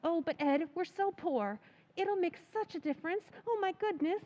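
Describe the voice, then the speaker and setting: An actress playing an anxious, poor small-town wife in an old-time radio play recreation, fretful and breathless. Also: female voice, very high-pitched, animated, moderate pace, darkish tone, highs cut off. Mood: anxious fretting. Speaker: Mary.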